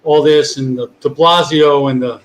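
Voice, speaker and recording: A man's voice: fairly high pitch, steady tone, normal speed, a little hiss or hum. Chatty sixty-year-old New man. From Long Island, New York. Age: 60s. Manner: chatty, rambling, restarts often. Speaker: podcast host. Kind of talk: casual chat.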